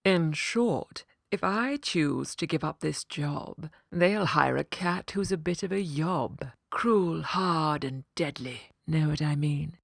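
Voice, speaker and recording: A woman's voice: low voice, slow, gravelly, hardly any pauses, no room sound, studio quality, full character voice. A woman voicing a canny, stern yet kind female cat with a grand, firm manner for a children's storybook. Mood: severe.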